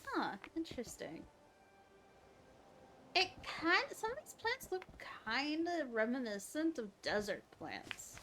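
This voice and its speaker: A woman's voice: sits high, wildly swooping pitch, takes it slowly, pushed and bright, a little hiss or hum. A woman game streamer giving casual live commentary, musing aloud to herself as she plays.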